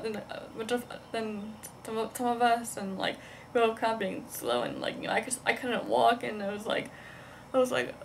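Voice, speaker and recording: A young woman chatting casually as a vlogger, rambling and halting, constantly dropping "like" into her sentences. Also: fairly high pitch. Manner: halting, heavy use of "like", rambling. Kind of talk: casual rambling chat to camera. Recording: noticeable background noise, amateur vlog sound.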